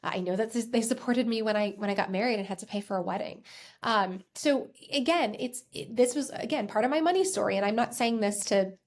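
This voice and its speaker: A woman's voice: a little high, fairly quick. An American woman in her thirties hosting a podcast, telling a personal story in a conversational, self-reflective way with emphatic repetitions. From United States. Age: 30s. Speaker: podcast host and accountant.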